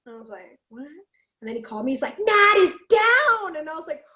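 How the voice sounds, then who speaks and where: A woman from Canada with a raspy, fairly deep voice, telling stories in a casual chat and acting out the lines she quotes. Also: a little fast, roomy sound. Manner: animated, acts out quotes, laughs often. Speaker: podcast guest, singer and speaker.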